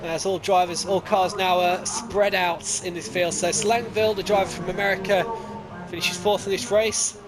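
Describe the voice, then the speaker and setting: A high-pitched man calls a simulated motor race live, excitable and quick to jump up when cars collide. He drifts into rambling asides and corrects himself as he goes. Clear music plays underneath his commentary. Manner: excitable, voice jumps up at crashes and overtakes. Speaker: sim racing league commentator.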